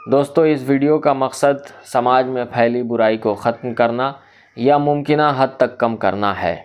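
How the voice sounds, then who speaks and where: A man delivering a scripted social commentary in a formal, measured, oratorical manner. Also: mid-range voice, clear, resonant tone. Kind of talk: scripted reformist monologue in literary Urdu.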